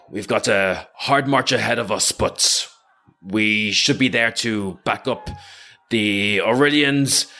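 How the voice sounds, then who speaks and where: A man playing a brisk, excitable guard captain in a tabletop game, giving hurried orders in short, halting bursts. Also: medium pitch.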